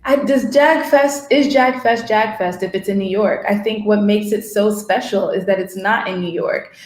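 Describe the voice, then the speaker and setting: A woman's voice: mid-range voice, normal speed, a little mellow. A woman writer talking casually in a discussion, reflective and searching for her words. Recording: roomy, echoing room sound.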